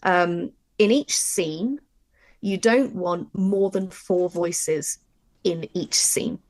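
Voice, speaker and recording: A woman presenting an informal writing workshop, talking through her method in an animated way and thinking aloud. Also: lowish voice, extremely slow, no echo. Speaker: audio drama writer and workshop presenter.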